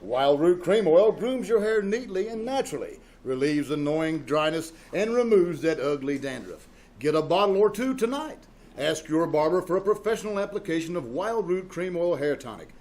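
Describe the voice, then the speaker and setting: A man's voice: on the high side, expressive, moderate pace, a little mellow. A man working as announcer, reading scripted copy in old-time radio style at a live performance.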